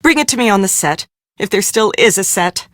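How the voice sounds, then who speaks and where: An actress playing a harried, blunt film producer in a mystery game, brusque and sardonic. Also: female voice, mid-range voice, expressive, brisk, a bit bright, no echo, clean studio sound, natural voice. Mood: urgent, exasperated. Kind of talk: scripted game dialogue.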